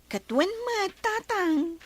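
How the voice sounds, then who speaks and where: A woman playing a high-voiced cheerful daughter. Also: animated, slow, heavily acted. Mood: affectionate. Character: cheerful young village daughter.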